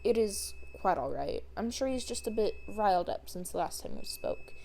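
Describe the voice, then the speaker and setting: A courteous, conciliatory man in an audio story , voiced by a woman. He sounds mellow and easygoing, with some creak in the voice. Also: medium pitch, expressive, normal speed, a little hiss or hum. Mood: placating. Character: smooth, genial, placating grown man.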